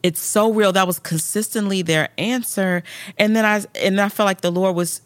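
A woman's voice: medium pitch, steady tone, normal speed, dry recording. A woman, an actress, as a guest on an interview podcast, telling stories animatedly and acting out quotes with big jumps in her voice, in a studio. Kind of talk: interview storytelling.

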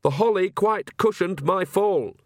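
A man's voice: a little high, fairly quick, fairly bright tone, no room sound, voice pushed up. A man voicing a young witch woman who speaks in a dry, pointed, put-upon way.

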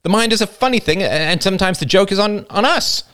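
Guy doing a nervous defensive young man, high voice, stammery and pressured, audiobook character. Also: sing-song, normal speed. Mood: loud, pressured. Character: anxious, defensive young man confiding family troubles.

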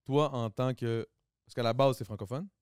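A man's voice: medium pitch, fairly even intonation, moderate pace, darkish tone, clean tone, no echo, clean studio sound. A man with a Quebec French accent hosting a podcast, talking casually and mixing in English slang and swearing. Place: podcast studio.

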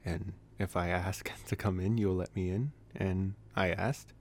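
A man playing a possessive, teasing young college vampire in an ASMR roleplay, speaking softly and intimately, with hesitant phrasing, sighs and chuckles. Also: low voice, steady tone, measured pace. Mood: possessive, amused.

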